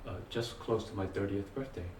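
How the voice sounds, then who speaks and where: A man in his forties, an art student, introducing himself in a measured way. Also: lowish voice, fairly even intonation, darkish tone, a little hiss or hum. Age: 40s. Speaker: painting student. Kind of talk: self-introduction. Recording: echoey room, far from mic.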